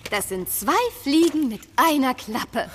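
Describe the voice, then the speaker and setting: A woman playing a clever, confident young girl adventurer in a children's audio drama, brisk and self-assured. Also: high-pitched, animated, slight background noise. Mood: eager, plotting.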